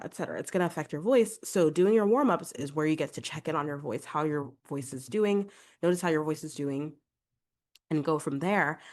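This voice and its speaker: A young adult woman, a vocal coach, giving chatty, encouraging podcast advice with a congested voice. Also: low-pitched. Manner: chatty, encouraging, fillers like "like" and "right?".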